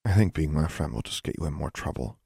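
A man's voice: low-pitched, expressive, a little fast, a little mellow, husky, no room sound, studio quality, heavily acted. Man voicing a headstrong, sullen schoolgirl witch. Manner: defensive, muttering.

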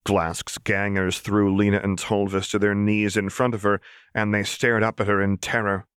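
A man's voice: lowish voice, flat delivery, moderate pace, a bit bright, clean tone, no echo, clean studio sound. A man reading dramatic fiction aloud as an audiobook narrator.

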